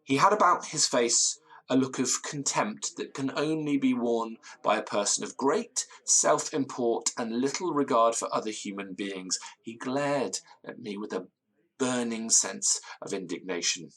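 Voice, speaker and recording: An actor playing a pompous, excitable gentleman who speaks in florid, theatrical language in an audio drama. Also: medium pitch, a little slow, low-quality recording. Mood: dramatic narrating. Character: pompous, excitable Victorian-style gentleman storyteller. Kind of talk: dramatic first-person storytelling in audio drama.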